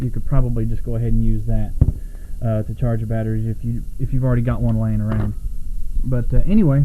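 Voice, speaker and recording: An American man giving a casual, unscripted product overview in a rambling, self-correcting way. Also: medium pitch. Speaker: product reviewer. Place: small home room, at a desk. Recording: muffled lo-fi camera audio, background noise.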